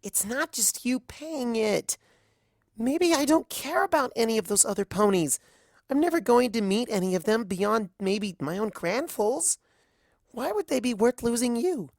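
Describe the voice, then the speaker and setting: Man voicing a high-pitched, defiant little filly. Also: animated, unhurried, gravelly, no echo, studio quality, full character voice. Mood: bitter, defiant. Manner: pleading, indignant. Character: young filly pony daughter, hurt and defiant.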